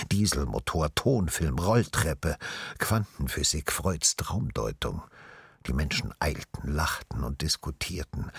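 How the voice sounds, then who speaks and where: Extremely deep-voiced wry storyteller. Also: male voice, creaky, husky, full character voice. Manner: deliberate, theatrical, ironic. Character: wry, solemn storyteller of the good old days. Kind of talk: scripted radio drama narration. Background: music.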